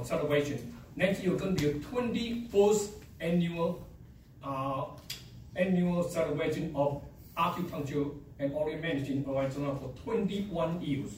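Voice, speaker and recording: A man with a strong Chinese accent presents to a board, halting and upbeat, leaning on fillers as he goes. His voice sits a little high. It is picked up from across a very echoey room. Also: slight background noise. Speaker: association presenter. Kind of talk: public meeting presentation. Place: meeting room. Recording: echoey room, mic at a distance.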